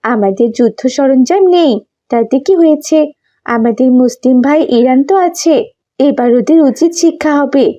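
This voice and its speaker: A woman's voice: high voice, moderate pace, warm tone, lo-fi sound, close to an everyday voice. A woman voicing a fervent, hopeful young Palestinian woman in a cartoon, speaking emphatically. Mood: confident, excited. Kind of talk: cartoon dialogue acting.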